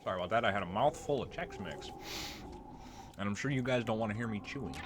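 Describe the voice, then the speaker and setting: A man's voice: fairly low pitch, expressive, fast, background noise. A man giving casual gameplay commentary as a let's play host, informal and rambling.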